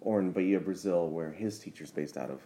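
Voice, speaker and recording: A man co-hosting a casual podcast, relaxed and trailing off into a low murmur. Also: fairly quick, a little hiss or hum. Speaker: podcast co-host. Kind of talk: casual chat.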